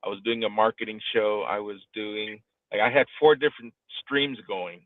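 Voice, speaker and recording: Phone-like, low-voiced man coaching. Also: normal speed, no room sound. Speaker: live streaming coach hosting an audio discussion. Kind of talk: conversational coaching advice. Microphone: live online audio room connection. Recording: tinny phone-like online call audio.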